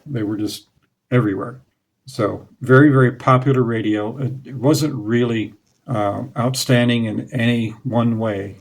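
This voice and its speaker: A man's voice: on the low side, vocal fry, a little mellow. A man in his forties, hosting his own hobby channel, talks casually to the camera in a small room through a lapel mic, plain-spoken and nostalgic, with a few fillers. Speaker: radio hobby channel host. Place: small room indoors in front of curtains. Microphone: clip-on lapel mic.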